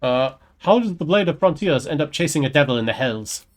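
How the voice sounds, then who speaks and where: Higher-pitched curious adventurer voiced by a man. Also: lively intonation, a little fast, close to an everyday voice. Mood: inquiring. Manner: conversational, questioning. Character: curious male adventurer with a murky past. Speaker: gamer voicing his player character. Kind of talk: reading game dialogue aloud in character.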